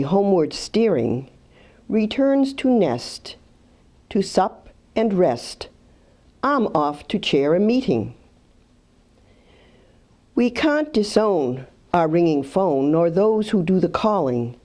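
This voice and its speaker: A woman's voice: on the low side, dark tone. A woman reading rhyming verse aloud. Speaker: reader of poems.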